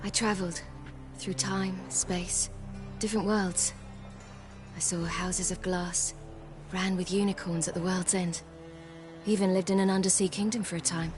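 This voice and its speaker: A woman's voice: on the low side, little pitch movement, measured pace, natural voice. An actress playing a free-spirited, self-assured young woman in a video game, speaking frankly and thoughtfully. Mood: reflective. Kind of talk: video game dialogue acting. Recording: music playing behind the voice.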